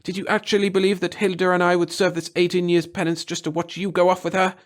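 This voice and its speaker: A gaunt, bitter older woman played by a man, raised well up in pitch and spitting scorn. She is a vengeful sister in a dramatic audiobook story, impassioned and cutting. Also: level tone, fast talker, close to an everyday voice. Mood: furious, scornful. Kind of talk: dramatic audiobook character reading.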